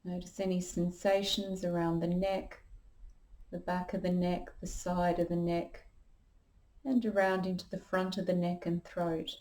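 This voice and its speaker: A woman leading a guided meditation as a yoga teacher, calm and unhurried, giving gentle instructions. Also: fairly low pitch, rough recording.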